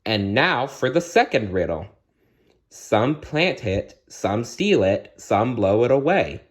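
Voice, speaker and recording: A man voicing a kindly, motherly female fairy, reciting riddles deliberately in a bedtime story. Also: mid-range voice, sing-song. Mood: encouraging.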